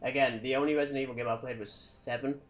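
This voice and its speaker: A man's voice: medium pitch, steady tone, quick pace, a bit bright, faint music behind. A man co-hosting a podcast, chatting casually and giving wry, opinionated takes on films and games. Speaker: podcast co-host.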